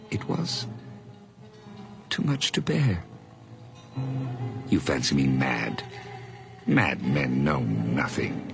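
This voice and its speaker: An actor playing an obsessive hired man who calmly plots a murder in a radio drama, speaking in intense, measured tones that drop to whispers. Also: low voice, full character voice. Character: obsessive young hired man, calm but unhinged narrator. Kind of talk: 1980s radio drama acting. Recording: vintage radio broadcast with faint music.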